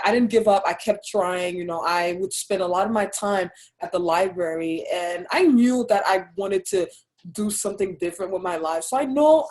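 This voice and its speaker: A young woman from Haiti with a Haitian accent, telling her own story as an interview guest in an earnest, soft-spoken way. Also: medium pitch. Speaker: interview guest, Army captain. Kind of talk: casual personal story.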